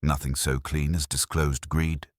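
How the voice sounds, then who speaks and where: A man's voice: rumbling low, flat delivery, measured pace, no room sound, clean studio sound. A man voicing an imperious, exacting detective who speaks formally and mildly, with precise, cutting delivery in an audiobook. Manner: formal, mild-spoken, precise.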